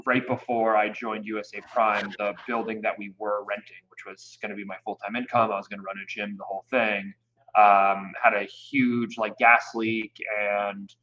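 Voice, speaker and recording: Low-ish guy in his 30s, rambly interview chat, kinda muffled lo-fi sound. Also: flat delivery, moderate pace, sharp tone, slight background noise. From Connecticut. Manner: rambling, with frequent restarts and fillers. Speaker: youth baseball program director. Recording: dull lo-fi audio.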